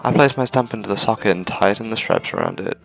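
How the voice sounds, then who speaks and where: Deep, gravelly-voiced man reading aloud. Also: huge pitch swings, fast, muffled recording. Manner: stumbles over words while reading. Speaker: gaming video host. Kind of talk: reading a visual novel aloud with casual asides.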